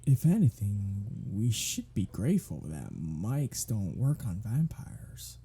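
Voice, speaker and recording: A performer voicing a cocky, sardonic, teasing vampire in an ASMR roleplay, with a breathy, husky voice and a drawling, sarcastic, playful way of talking. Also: deep voice, huge pitch swings, takes it slowly, dark tone, soft background music, full character voice. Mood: defensive, mocking. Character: cocky, sardonic, teasing, foul-mouthed vampire riding shotgun. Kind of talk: ASMR roleplay audio drama, one-sided conversation.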